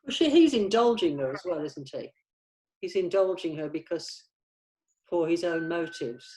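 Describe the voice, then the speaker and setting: A woman's voice: fairly low pitch, expressive, moderate pace, mellow, a little hiss or hum. A woman in a casual script discussion, thinking aloud as she offers suggestions to the group. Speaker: participant in script table read.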